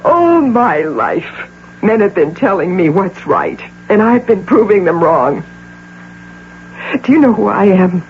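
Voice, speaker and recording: A woman's voice: medium pitch, big pitch swings, slow. An actress playing a proud, anguished middle-aged businesswoman who clings to her fantasy, in impassioned, theatrical radio drama style full of rhetorical questions. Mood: indignant. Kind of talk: old-time radio drama acting. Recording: dull, noisy old radio broadcast.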